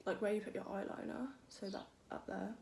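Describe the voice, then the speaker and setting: A young woman with a croaky voice, chatting casually through a makeup tutorial and making fun of herself as she works. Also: mid-range voice, steady tone, a little fast, a little mellow. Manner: chatty, self-deprecating, talks while working. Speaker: beauty vlogger. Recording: echoey room with faint noise.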